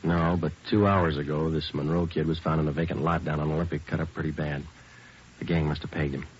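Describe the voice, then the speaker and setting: An actor playing a terse, matter-of-fact police detective sergeant in a 1940s radio drama, speaking in a clipped, flat manner. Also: male voice, a bass voice, a little fast. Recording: hissy muffled old radio broadcast.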